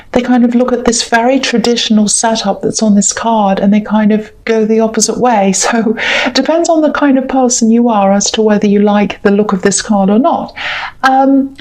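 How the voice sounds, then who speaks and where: Tarot reader, woman, voice gone croaky and hoarse, a bit high, thinks aloud with ums and corrects herself. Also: darkish tone, low-quality recording. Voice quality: musing and hesitant, with many ums and self-corrections. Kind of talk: talking to camera during a tarot reading.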